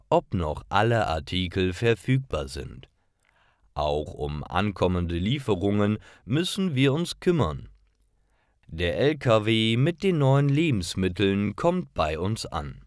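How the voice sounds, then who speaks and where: Male narrator for learner stories: deep, unhurried, careful delivery with lively intonation. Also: no echo, studio quality. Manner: careful, deliberate reading. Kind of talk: slow scripted narration for language learners.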